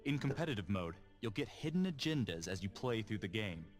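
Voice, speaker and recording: A man giving smooth, scripted narration that explains a game's modes to players. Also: fairly low pitch, big pitch swings, a little fast, faint music behind. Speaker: game narrator. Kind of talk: scripted game tutorial narration.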